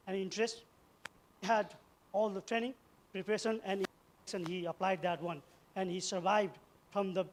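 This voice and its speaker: High-pitched Nepali-accented man giving a speech. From eastern Nepal. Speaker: event speaker, former soldier. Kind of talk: personal story told as a public speech.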